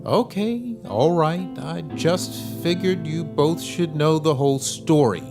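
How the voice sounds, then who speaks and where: An actor playing a businesslike lawyer who lays out case details in a measured way. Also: male voice, a little high, over music. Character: calm lawyer advising a couple.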